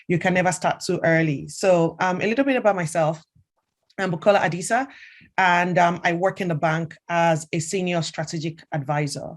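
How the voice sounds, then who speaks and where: A woman's voice: fairly low pitch, normal speed. A middle-aged woman from Nigeria with a Nigerian accent, telling students about her career in a chatty way, with many fillers and restarts. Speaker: senior strategic advisor.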